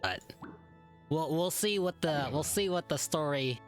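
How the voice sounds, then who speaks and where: A man's voice: a little high, measured pace, soft background music. A young man giving casual, off-the-cuff reaction commentary over a video game. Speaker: gaming video creator.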